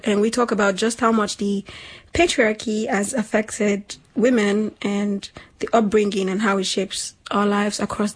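A young woman from Nigeria co-hosting a casual chat show, talking in an animated, slangy way and laughing often. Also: mid-range voice, breathy edge. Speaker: podcast co-host.

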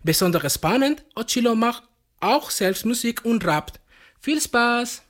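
A woman's voice: on the high side, expressive, a little slow. A woman presenting a radio show, reading a scripted announcement.

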